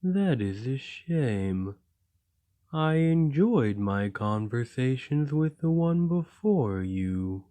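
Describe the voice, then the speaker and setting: A man voicing a gentle, lonely golem in an ASMR roleplay, speaking in a formal, measured and courteous way. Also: mid-range voice, big pitch swings. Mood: polite, wistful.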